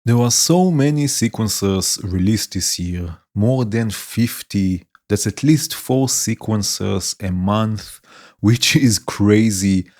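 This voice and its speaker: A man hosting a modular synth tutorial, explaining modules in a relaxed way and often saying "right" and "let's say". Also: fairly low pitch, takes it slowly. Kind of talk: demonstrating modules while talking. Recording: music playing behind the voice.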